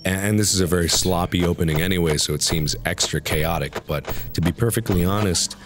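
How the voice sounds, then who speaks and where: Sick guy w raspy low voice explaining game strats, music going behind him. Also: brisk. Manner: talkative, explains step by step with fillers. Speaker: gaming video creator. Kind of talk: casual gameplay commentary.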